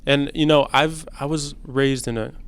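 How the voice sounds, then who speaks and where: A man in his twenties hosting a student podcast, talking in a relaxed, chatty way with plenty of slang and fillers. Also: on the high side, normal speed, clear, resonant tone. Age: 20s. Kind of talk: casual conversation.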